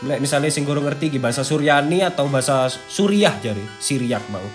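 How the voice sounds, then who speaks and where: A man's voice: on the high side, fairly quick. A man with an East Javanese accent hosts a casual, slangy video, chatting and partly reading out an article, peppering his talk with interjections. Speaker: video host. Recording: background music under the talk.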